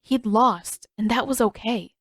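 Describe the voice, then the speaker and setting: A woman's voice: fairly high pitch, a little slow, dry recording, clean studio sound. A woman reading scripted fiction as an audiobook narrator.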